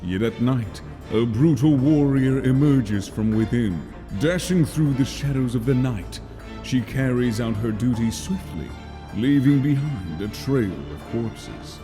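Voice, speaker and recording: A man's voice: mid-range voice, natural voice. A man voicing a solemn, menacing video game boss announcer, narrating in a portentous, dramatic way. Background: dramatic music.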